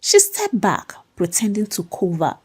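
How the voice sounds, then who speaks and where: A woman's voice: mid-range voice, wildly swooping pitch, a little slow, breathy edge. A woman telling a story as a dramatic scripted narration.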